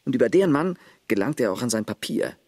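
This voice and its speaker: A blunt, husky-voiced villager. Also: male voice, medium pitch, very animated, fast, darkish tone, dry recording. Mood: storytelling. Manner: terse, matter-of-fact, lists points. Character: Jo, village man caring for grandfather, blunt. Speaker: character in a radio play.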